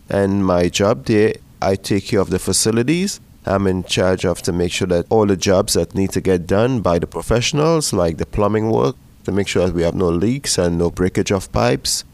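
Middle-aged man, slightly low voice; sincere, steady, plain-spoken interview testimony. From Trinidad and Tobago. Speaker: church facilities worker.

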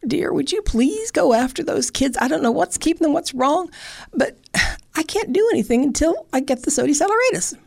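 A woman's voice: sits high, expressive, a little fast, vocal fry, darkish tone, no echo, studio quality, voice pushed up. A woman voicing an impatient, fussy old grandmother in a told folktale.

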